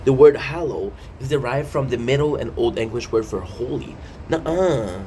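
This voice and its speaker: A man's voice: medium pitch, expressive, moderate pace, mellow. Man in his thirties over background noise. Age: 30s. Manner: jokey, self-interrupting, playful asides. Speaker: video host. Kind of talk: casual rambling vlog, reading an article aloud with asides.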